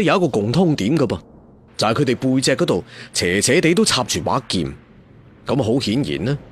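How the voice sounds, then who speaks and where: Animated male storyteller. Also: mid-range voice, husky. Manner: expressive, dramatic delivery. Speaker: audiobook storyteller. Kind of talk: dramatic scripted martial-arts narration.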